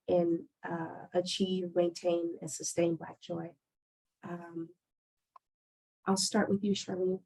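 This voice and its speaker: Measured woman moderating a panel. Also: lowish voice, dark tone, poor audio. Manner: measured, explanatory, frequent fillers. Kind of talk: panel discussion.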